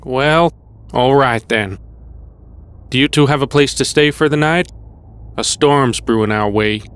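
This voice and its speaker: An actor playing a wary older male survivor in an audio drama, gruff and folksy. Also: mid-range voice, unhurried, a bit bright, lightly acted. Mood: guarded, conciliatory. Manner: folksy, plain-spoken. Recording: clear background noise.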